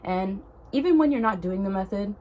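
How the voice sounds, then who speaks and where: A woman's voice: medium pitch, lively intonation, normal speed, a little mellow, slight background noise. A young woman vlogger, congested with a cold, chatting to camera in a rambling way that keeps correcting itself. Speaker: spiritual vlogger. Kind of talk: casual talk to camera.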